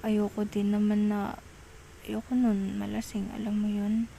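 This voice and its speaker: A woman with a Filipino accent playing an affectionate, apologetic girlfriend in an ASMR roleplay, talking softly and coaxingly, often half-whispering. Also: mid-range voice, completely flat delivery, measured pace, vocal fry. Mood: apologetic, explaining. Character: affectionate, apologetic girlfriend soothing a jealous partner. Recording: faint background noise.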